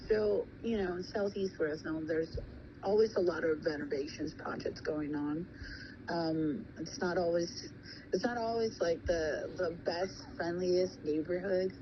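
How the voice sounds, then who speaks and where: A woman in her thirties, born in Thailand and raised in California, answering interview questions slowly, with frequent pauses and ums. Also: lowish voice. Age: 30s. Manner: hesitant, many pauses and ums. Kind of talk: casual recorded interview. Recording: muffled with steady background noise.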